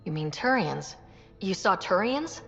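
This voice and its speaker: An actress playing an earnest young human space explorer in a video game, plain-spoken and diplomatic. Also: female voice, mid-range voice, big pitch swings, a little slow, vocal fry, a bit bright, heavily acted. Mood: reassuring. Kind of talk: cinematic video game acting. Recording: dull game audio with faint music.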